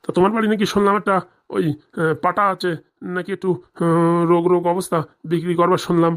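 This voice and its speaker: A man's voice: high-pitched, flat delivery, quick pace, fairly bright tone. A man voicing a pushy, easily offended old livestock broker in a comedy cartoon, with a huffy colloquial manner. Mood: wheedling.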